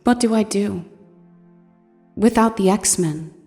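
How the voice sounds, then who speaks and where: A woman's voice: medium pitch, slow pace, mellow. A woman playing a lost, sorrowful superhero starting over in an audio drama. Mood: forlorn. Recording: long reverb, music underneath.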